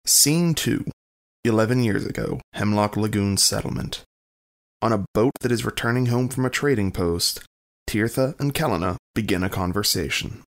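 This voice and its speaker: A man narrates scene settings from a script. His voice is fairly low with a noticeable fry, suited to announcing the scenes of an audio drama. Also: normal speed.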